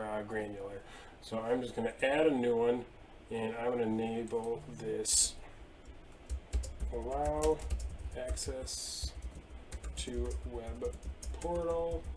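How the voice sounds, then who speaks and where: Casual man walking through software steps. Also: medium pitch, slight background noise. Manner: casual, steady step-by-step explaining with fillers. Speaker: IT tutorial presenter. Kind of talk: screen-share software walkthrough. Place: indoor room at a desk.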